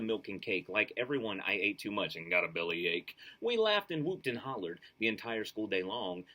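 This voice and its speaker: A man reading a children's book aloud expressively, with enthusiastic casual asides. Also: on the low side. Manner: enthusiastic, animated. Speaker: storybook reader.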